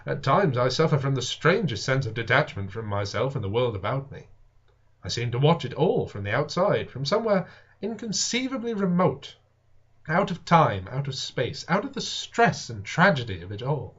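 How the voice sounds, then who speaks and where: A man reading a classic science fiction novel aloud as dramatic narration, with deliberate, expressive, theatrical phrasing. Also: mid-range voice, husky. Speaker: audiobook reader. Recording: dull lo-fi home recording.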